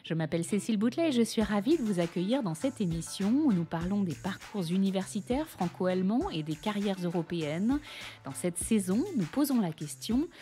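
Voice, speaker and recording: Podcast host, woman. Mellow, mid-pitched, articulate and polite delivery. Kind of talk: podcast introduction and interview.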